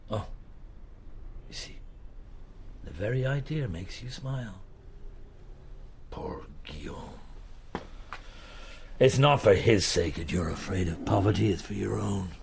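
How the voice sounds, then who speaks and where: An actor in a radio drama playing a shrewd, relentless middle-aged detective chief, questioning deliberately with pauses in a husky, gravelly voice. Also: male voice, fairly low pitch, animated, slight background noise. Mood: grave, insistent.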